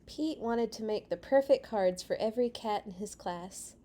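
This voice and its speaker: A woman reading a picture book aloud to young children as a storytime host, with an expressive delivery that addresses them directly. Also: mid-range voice, moderate pace, a little hiss or hum.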